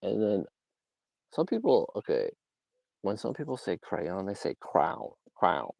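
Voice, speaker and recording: A man's voice: sits low, huge pitch swings, measured pace, gravelly, a little mellow, no room sound. A young man from Georgia in the US South, casually rambling on a livestream with lots of fillers and little laughs. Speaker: livestream host. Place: outdoors.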